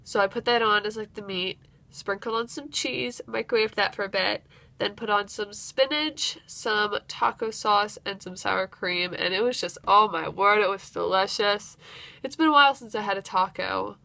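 A woman's voice: mid-range voice, normal speed, creaky, pushed and bright, dry recording. A young woman vlogging casually to the camera from her bedroom at home, chatty and upbeat, with frequent fillers. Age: young adult. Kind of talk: casual vlog chat to camera. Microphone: handheld camera or webcam at arm's length.

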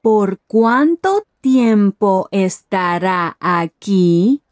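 A woman playing a friendly stranger in a scripted language lesson, pronouncing each phrase deliberately. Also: medium pitch, darkish tone, dry recording, studio quality. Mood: friendly, curious. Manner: deliberate, carefully enunciated. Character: friendly Peruvian woman chatting with a tourist. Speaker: voice actor in language lesson dialogues. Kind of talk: scripted learner dialogue, each phrase said slowly then at normal speed.

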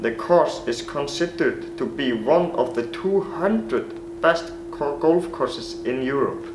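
A man's voice: a little high, lively intonation, slow, echoey room, background noise. A young man, reading a rehearsed self-introduction to college golf coaches in a small plain room. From Iceland. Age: late teens. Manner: earnest, rehearsed delivery. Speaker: golf recruit.

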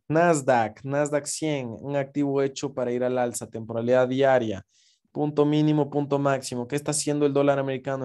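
A man's voice: mid-range voice, darkish tone, clear, resonant tone. A man teaching forex trading over a screen recording, in a casual explanatory way with frequent check-ins to viewers. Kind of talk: screen-share trading lesson.

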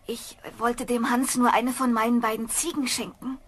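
A woman's voice: on the high side, flat delivery, moderate pace, a bit bright, breathy edge. An actress playing a simple, good-natured, plump young village girl in a children's fairy-tale radio play, chatting naively. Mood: chatty, flustered.

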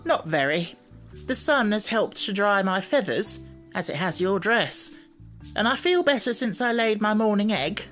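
Fussy little hen for a storybook, high sharp voice, very matter of fact. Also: male voice, lively intonation, measured pace, faint music behind. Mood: fretful. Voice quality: small, sharp, distinct. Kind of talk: audiobook character voice.

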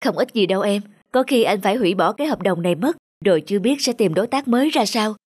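A woman's voice: mid-range voice, expressive, fast, darkish tone, slightly rough, no room sound, studio quality. A woman voicing a troubled, downcast husband in a cartoon drama, subdued and earnest. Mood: worried.

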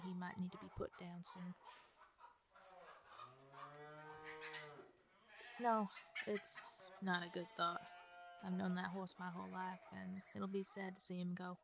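An easygoing young cowgirl ranch hand, played by a woman in an ASMR roleplay, showing a newcomer the ropes. Her voice is lowish-to-middling in pitch, bright and crackly with fry. She talks in plain folksy phrases, over a faint bed of farm animal ambience. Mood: wistful. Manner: folksy, drawling phrasing with ain't and gotta. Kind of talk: ASMR roleplay, one-sided chat.

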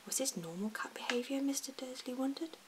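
A woman reading a storybook aloud in a soft, slow, even whisper. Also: high voice, background noise.

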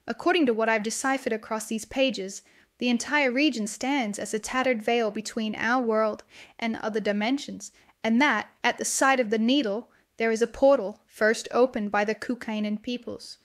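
A young female student , played by a voice actress, confides in her video diary in a fairly high voice. The delivery is dramatic, made for a horror audio story, and the sound is close and dry. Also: no room sound, natural voice. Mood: intrigued, determined.

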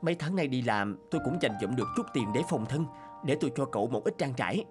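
A man voicing the male characters in a dubbed cartoon folk tale, with animated, emotional delivery. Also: mid-range voice, quick pace. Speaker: voice actor doing all male parts. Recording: music bed under voice.

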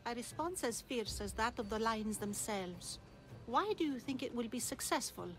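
A woman's voice: fairly high pitch, animated, normal speed, faint music behind, natural voice. An actress playing a shrewd, enigmatic older woman of ancient Greece in a video game, composed and cryptic. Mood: knowing.

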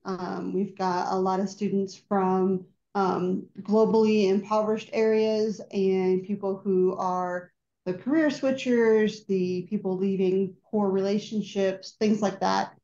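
A middle-aged woman from Indiana speaks slowly in a mellow tone on a video call. Her audio is roomy and dull, and she teases lightly between fillers. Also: medium pitch, fairly even intonation. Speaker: panelist, business owner. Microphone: webcam or computer mic on video call.

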